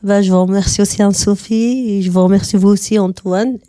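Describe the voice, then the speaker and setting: A woman gives a casual spoken testimonial as a participant. Her voice is mellow and medium in pitch, recorded dry. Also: fairly even intonation, brisk, no room sound. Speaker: workshop participant.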